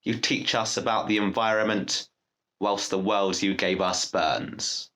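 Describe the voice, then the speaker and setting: A young man reading his own poem aloud in measured, declarative phrases. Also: fairly low pitch, steady tone, bright, forceful tone. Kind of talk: poetry recitation.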